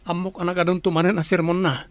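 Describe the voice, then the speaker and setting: Actor as dutiful young man, radio drama, slightly high voice, close dry sound, earnest, placating. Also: flat delivery, quick pace, dry recording, natural voice. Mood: reluctant placating. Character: dutiful young adult son, soothing his mother. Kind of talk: Ilocano radio drama acting.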